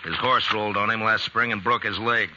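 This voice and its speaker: An actor playing a weary but principled frontier marshal in a 1950s radio western, plain-spoken and folksy. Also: male voice, on the low side, steady tone, fairly quick, lightly acted. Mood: disapproving. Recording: noisy, muffled 1950s radio recording.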